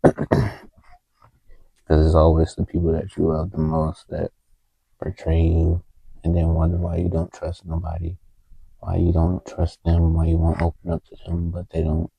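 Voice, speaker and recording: A man recording a heartfelt, halting confessional vlog on a handheld phone in a small closet, with a TV faintly audible from the next room. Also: deep voice, gravelly, mellow, husky, dry recording, poor audio. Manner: halting, heartfelt, stops often to find words. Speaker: vlogger. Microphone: handheld phone camera. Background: faint TV music from the next room.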